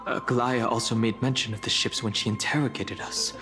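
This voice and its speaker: A man voices a serious, reserved young fantasy game hero with a middling pitch. He speaks in a measured, understated, thoughtful way, with long reverb around the voice and clear music behind it. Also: fairly even intonation, lightly acted. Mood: pensive, measured. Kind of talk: scripted video game voice acting.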